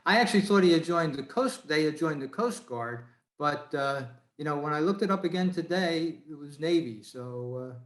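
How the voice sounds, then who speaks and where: A man speaking casually and haltingly on a video call. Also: a little high, lively intonation. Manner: hesitant, halting. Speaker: club member. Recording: roomy, echoing video-call audio.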